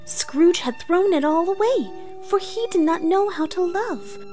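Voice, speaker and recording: A woman's voice: very high-pitched, expressive, a little slow, a little mellow, faint music behind, pitched above the performer's own voice. A woman playing an earnest, theatrical narrator of a storybook read-along. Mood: dramatic, earnest. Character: earnest theatrical storyteller, comic author-narrator persona played female.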